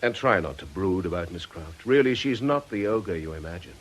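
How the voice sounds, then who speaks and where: An actor playing an earnest, reasonable priest in a British radio drama, tactful, concerned and gently challenging. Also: male voice, sits low, animated, fairly quick, a little hiss or hum. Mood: reassuring, tactful.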